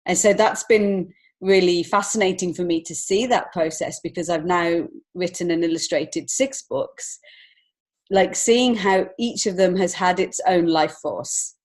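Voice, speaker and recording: A woman speaks as a guest in a relaxed interview. Her voice sits on the low side for a woman. She talks candidly and expressively, with a giggly streak. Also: unhurried. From lives in Montreal, Canada. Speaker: guest, children's author and illustrator. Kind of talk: casual interview chat.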